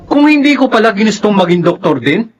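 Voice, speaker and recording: An earnest young son in a radio drama. His voice is high for a man, respectful yet insistent, with faint noise behind. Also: sing-song, brisk, dull audio. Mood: confronting, earnest.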